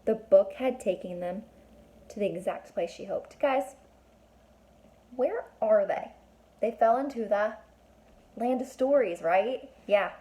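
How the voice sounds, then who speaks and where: A woman's voice: mid-range voice, slow pace, pauses often, dark tone. A woman reading a children's book aloud as a teacher would, stopping to explain and put questions to young listeners.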